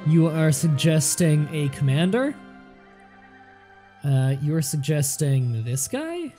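A man with a nasal voice, a bit more congested than usual, keeps up a running, easygoing commentary on his strategy game. His voice sits a little higher than most men's and he often talks straight to the characters on screen. Soft music plays faintly behind him. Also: sing-song, slow pace. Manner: chatty, thinks aloud, talks to game characters. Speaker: let's play commentator. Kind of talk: casual gameplay commentary.

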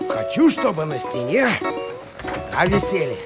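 A man voicing an excitable, boastful talking dog in an animated cartoon, eager and exclamatory. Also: a little high, moderate pace. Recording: music under the voice.

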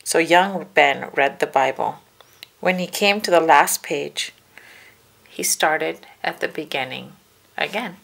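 Creaky woman reading a storybook. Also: deep voice, takes it slowly, fairly bright tone. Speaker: children's read-aloud narrator. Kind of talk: storybook narration.

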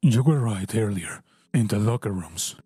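A man playing a massive, gruff male dog fighter who speaks in a halting, apologetic way. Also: lowish voice, expressive, dark tone, no room sound, studio quality.

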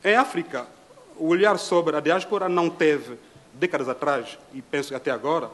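A middle-aged man from Congo speaks at a panel table in a dim hall. His voice sits a little high for a man. He talks in a measured, reflective way, pausing often to find his words. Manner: measured, with frequent hesitations. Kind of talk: formal reflective talk.

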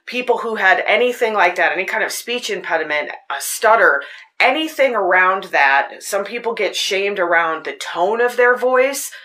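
A woman with a fairly low voice hosting a podcast, telling personal stories in an earnest, searching way. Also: expressive, normal speed, pushed and bright. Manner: earnest, personal storytelling with frequent restarts. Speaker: podcast host. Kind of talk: casual spoken reflection. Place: Tucson.

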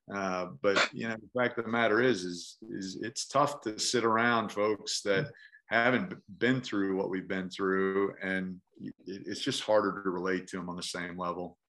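A man's voice: on the low side, fairly even intonation, normal speed, a bit bright, poor audio. A veteran man on a video call, speaking candidly and reflectively, restarting often. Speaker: veteran guest. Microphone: webcam mic on video call.

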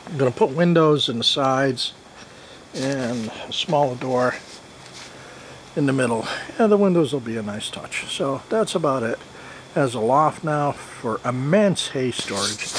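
A man's voice: mid-range voice, noisy background. A man in his forties giving a casual, jokey walk-around of his half-built barn outdoors, recorded on a handheld camera. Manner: chatty, jokey, talks to his goats. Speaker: homesteading vlogger. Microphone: handheld camera mic.

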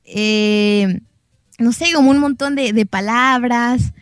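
A young woman with a Mexican accent talks casually as an interview guest. Her voice sits fairly high and she speaks with enthusiasm. The sound is dry, with very little room in it. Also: slow pace, no room sound, faint music behind.

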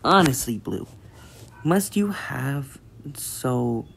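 A woman's voice: very deep voice, expressive, drawn-out words, creaky, slight background noise, exaggerated voice. A woman voicing an imperious, condescending giant gem queen in an amateur cartoon comic dub, stately and haughty. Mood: scolding.

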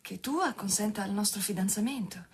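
An actress playing an earnest, determined young student daughter in love, in an Italian radio drama. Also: female voice, medium pitch, a little fast. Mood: hesitant, earnest. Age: young adult. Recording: radio drama with clear ambient noise.

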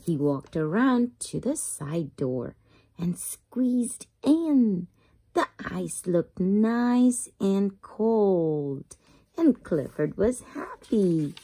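A woman reading a children's picture book aloud as a storyteller, with expressive, drawn-out emphasis for young listeners. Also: mid-range voice, breathy edge.

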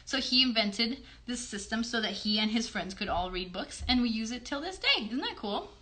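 Woman, thirties, children's show host, slightly high pitch, bright tone, encouraging, simple explanations, questioning. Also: expressive, moderate pace. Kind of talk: child-directed chat on a livestream. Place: small bedroom-like room indoors. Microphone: phone a short distance away.

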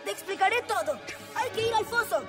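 A woman's voice: very high-pitched, lively intonation, brisk. A woman voicing an earnest, determined child superhero with emphatic delivery in a Latin American Spanish cartoon dub. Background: loud background music.

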